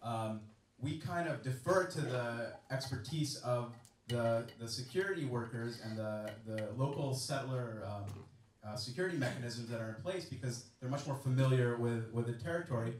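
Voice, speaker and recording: A man's voice: mid-range voice, normal speed. A man on a panel answering an audience question, hesitant, with many fillers and restarts. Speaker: panelist, former soldier. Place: large indoor event room. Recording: echoey hall, far from mic.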